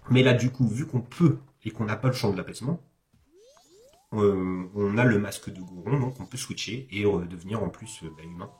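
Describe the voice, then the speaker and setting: A man's voice: lowish voice, normal speed. A man giving a casual, explanatory speedrun commentary, hesitating and correcting himself with informal asides. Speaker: video game commentator, host. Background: background music.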